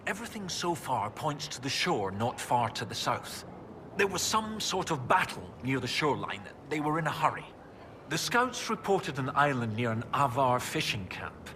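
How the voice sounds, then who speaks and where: An actor playing an enthusiastic research professor in a video game, explaining things in a pedantic, eager way. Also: male voice, mid-range voice, sing-song, normal speed, background noise, close to an everyday voice. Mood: absorbed, explanatory. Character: enthusiastic, bookish male research professor and archaeologist. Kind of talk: video game dialogue acting.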